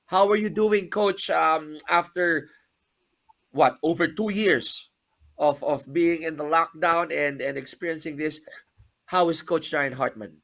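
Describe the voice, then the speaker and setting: Webinar host, man, emphatic and energetic, bright voice. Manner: upbeat and emphatic, with big swings of pitch and loudness. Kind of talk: lively webinar hosting, mixing in Tagalog.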